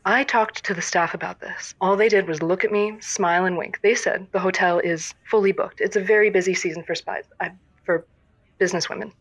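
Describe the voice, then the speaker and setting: A woman playing an exasperated, tightly wound spy who poses as a businesswoman, calling a radio show in an audio comedy with deliberate, deadpan indignation. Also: fairly low pitch, no echo, poor audio. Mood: indignant. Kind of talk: audio comedy acting as phone-in caller.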